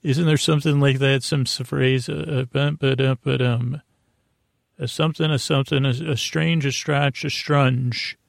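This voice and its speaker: A man in his forties with a creaky, gravelly voice, recorded close and dry. He delivers a meandering bedtime monologue, repeating words and abandoning thoughts halfway through. His pitch sits in the middle for a man. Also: fairly even intonation, no room sound. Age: 40s. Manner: meandering, halting, repeats and trails off mid-thought. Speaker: host of a sleep podcast.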